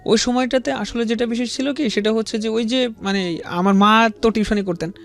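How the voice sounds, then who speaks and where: A man in his twenties with a Bangladeshi accent, telling his life story as an interview guest in a halting, deferential, repetitive way. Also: high voice, fairly even intonation. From rural Bangladesh.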